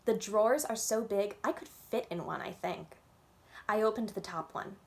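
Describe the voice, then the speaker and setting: Expressive woman reading a children's story. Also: medium pitch, moderate pace, vocal fry. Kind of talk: expressive first-person children's book narration.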